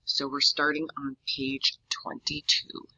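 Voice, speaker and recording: A woman reads a children's story aloud with expression. Her voice sits low but has a bright, forceful edge, and the recording is slightly dull and lo-fi. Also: monotone, slow pace, breathy edge. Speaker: read-aloud reader. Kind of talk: children's book read-aloud.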